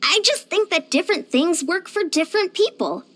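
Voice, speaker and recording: A woman's voice: extremely high pitch, animated, normal speed, bright, forceful tone, exaggerated voice. A woman voicing a short, youthful female fitness coach in a video game, peppy, encouraging and earnest. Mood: earnest, explanatory. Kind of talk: video game character voice lines.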